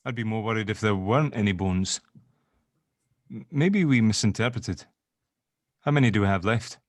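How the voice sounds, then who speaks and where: A man's voice: lowish voice, moderate pace, no room sound, lightly acted. A man playing a sardonic, joking sceptic in an audio drama table read, bantering informally. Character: sardonic, sceptical spirit-dealing grave opener, gently joking.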